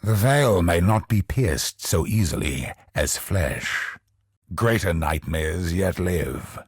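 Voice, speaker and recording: An actor voicing a brooding, grandiose godlike villain in a video game, husky and gravelly, with an ominous, deliberate delivery. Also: male voice, low-pitched, animated, no echo, studio quality, full character voice. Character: godlike male cosmic villain, brooding, grandiose, obsessed with perfection. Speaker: video game boss character.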